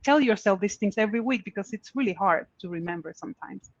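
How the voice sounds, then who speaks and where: A woman's voice: medium pitch, fast talker, no room sound. Earnest woman on a dull video call. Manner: earnest, encouraging, repeats key points. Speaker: panelist giving career advice. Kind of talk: conference Q&A answers.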